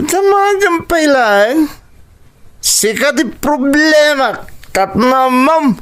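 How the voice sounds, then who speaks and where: A man's voice: extremely high pitch, lively intonation, drawn-out words, fairly bright tone, full character voice. A man acting a bitter, blaming, drunken husband in an Ilocano radio drama, taunting and accusing, with a Filipino accent. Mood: surly. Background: music under the dialogue.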